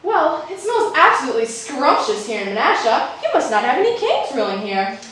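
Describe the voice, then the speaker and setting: A woman's voice: high-pitched, very animated, normal speed, a bit bright. A woman playing a genteel, spirited colonial protester on stage, with quaint, exclamatory theatrical delivery. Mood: charmed, polite. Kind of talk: live children's stage play acting. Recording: echoey noisy hall, distant microphone.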